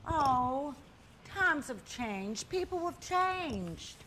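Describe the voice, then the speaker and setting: A woman's voice: high-pitched, big pitch swings, drawn-out words, pushed and bright, slight background noise, heavily acted. A woman playing a stubborn, huffy older spinster sister in a comedic stage play, speaking with emphatic repetition. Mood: defiant.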